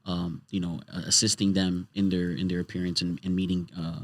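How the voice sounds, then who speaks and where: Fast-talking deep-voiced male host. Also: flat delivery, dry recording. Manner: rambling, many restarts. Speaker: podcast host. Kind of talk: casual podcast chat. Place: podcast studio.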